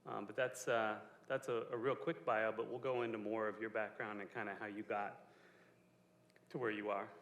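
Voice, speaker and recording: A man's voice: fairly low pitch, little pitch movement, normal speed, a bit bright, lo-fi sound. A man hosting a live on-stage interview at an event, conversational and a bit apologetic, speaking into a handheld mic. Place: event space with a small audience. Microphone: handheld microphone. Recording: roomy live venue.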